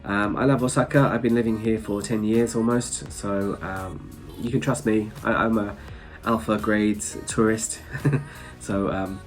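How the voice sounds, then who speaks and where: A man's voice: fairly low pitch, steady tone, measured pace, faint music behind. A man with a blocked-up nose from hay fever, chatting casually and a little rambling to his viewers, with small chuckles. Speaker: travel vlogger. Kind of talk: casual chat to camera.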